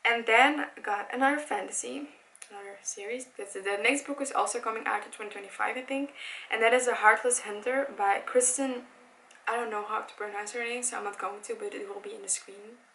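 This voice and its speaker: A woman's voice: on the high side, fairly even intonation, fairly quick, bright, forceful tone, slight background noise, low-quality recording. A woman in her early twenties, a native Dutch speaker, chatting casually and eagerly about books for her channel, often correcting herself as she talks. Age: 20s. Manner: chatty, enthusiastic, with frequent self-corrections and "like". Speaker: book vlogger. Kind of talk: casual chat. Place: home room by a bookshelf.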